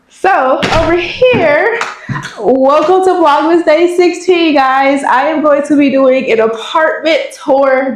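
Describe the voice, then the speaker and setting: Congested 20s girl, chatty, says y'all a lot, echoey apartment. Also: sits high. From Dallas, Texas. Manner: chatty, addresses viewers as y'all. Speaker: vlogger. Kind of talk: casual apartment tour vlog. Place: apartment indoors.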